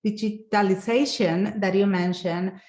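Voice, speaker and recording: A woman's voice: mid-range voice, fairly even intonation, a little slow, a little mellow. Woman host in an echoey room. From Argentina. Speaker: podcast host. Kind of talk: casual interview conversation.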